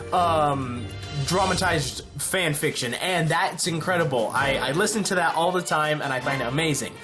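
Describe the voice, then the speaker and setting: An excited channel host making a casual announcement, talking in an emphatic, rambling way. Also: mid-range voice. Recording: music behind the voice. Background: music.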